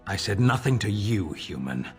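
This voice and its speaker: A low, swooping voice of a stern non-human warrior in a fantasy game. He is terse and contemptuous, with music behind. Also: sing-song, normal speed. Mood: cold disdain. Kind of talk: fantasy video game acting.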